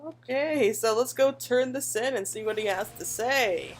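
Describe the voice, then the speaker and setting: A woman hosting a casual game playthrough in an excitable, gushing manner. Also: a little high, measured pace. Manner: excitable, gushing, reads game dialogue aloud. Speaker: gaming channel host. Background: game music.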